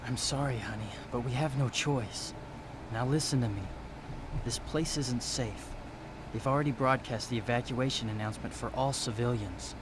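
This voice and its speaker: A dutiful, loving military father, played by a male actor, speaks gently but firmly in a video game cutscene. His mid-pitched voice is grave and earnest, over clear background noise. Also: moderate pace, close to an everyday voice.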